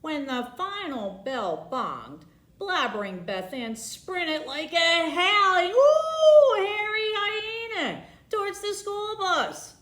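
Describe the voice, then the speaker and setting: A woman's voice: very high voice, big pitch swings, slow pace, a bit bright. A woman reading a picture book aloud for children at storytime, theatrical and leaning on the colourful words. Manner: theatrical, emphasizing alliterative words. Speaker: library storytime reader. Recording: roomy, echoing sound.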